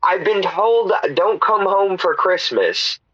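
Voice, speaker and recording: A man's voice: high-pitched, animated, slow, a bit bright, husky, dry recording, exaggerated voice. A man playing a put-upon, hesitant caller on a comedy radio advice show, telling his troubles plaintively through a dull lo-fi line. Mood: aggrieved, sheepish. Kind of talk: improvised comedy radio call-in.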